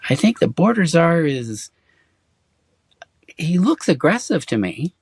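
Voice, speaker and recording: A middle-aged man raised in Germany, answering interview questions in a halting, hesitant way with many fillers. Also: a little high, sing-song, darkish tone, breathy edge, no room sound, clean studio sound. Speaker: interview guest. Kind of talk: casual conversation.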